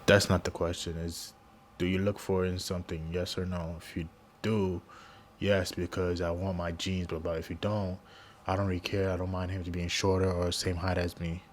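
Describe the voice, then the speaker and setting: A man's voice: low-pitched, little pitch movement, normal speed, a little hiss or hum. A man hosting a reaction video, talking casually and rambling, often restarting his sentences.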